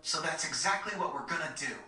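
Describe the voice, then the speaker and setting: An actor playing a confident, plucky young boy in a video game, brisk and bossy. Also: on the high side, sharp tone. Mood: firm, rallying. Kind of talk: video game cutscene acting. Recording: very echoey, distant, faint music.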